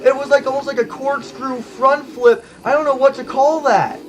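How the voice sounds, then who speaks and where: A man commentating on a simulated monster truck event in an excited play-by-play style, hyped and exclaiming often, as his voice starts to go. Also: extremely high pitch, moderate pace. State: losing his voice.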